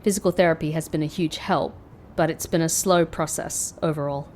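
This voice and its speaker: An actress playing a guarded, sardonic former secret agent in an audio drama, polite and measured with a dry wit. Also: female voice, sits low, steady tone, darkish tone, slight background noise, lightly acted. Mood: wry, measured. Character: guarded, sardonic woman, former secret agent turned call-centre worker.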